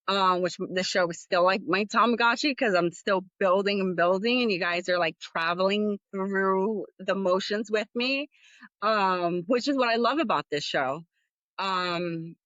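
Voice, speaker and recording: A woman's voice: medium pitch, a bit bright, dry recording, highs cut off. A woman hosting her own podcast, talking casually and candidly, rambling with frequent fillers. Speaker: podcast host. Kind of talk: casual solo podcast talk.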